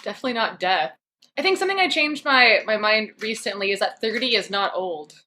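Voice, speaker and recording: A woman of thirty, an investigative reporter as podcast guest, talking casually with wry, dark humor. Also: mid-range voice, expressive, brisk, slight background noise. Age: 30s. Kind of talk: casual interview chat.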